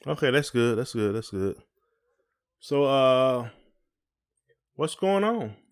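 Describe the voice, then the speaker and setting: A man chats as a podcast co-host in a mid-pitched voice. His phrasing is informal and a little hesitant, and he leads the conversation with questions. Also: very animated, takes it slowly, clean tone. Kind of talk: casual chat.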